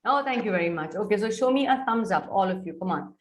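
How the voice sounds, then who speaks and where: A middle-aged woman with an Indian English accent gives an online soft skills lecture to students. She coaxes them and keeps checking whether they are following. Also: medium pitch, expressive, fast talker. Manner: coaxing, mixes Hindi into English, checks on students often. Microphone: video call.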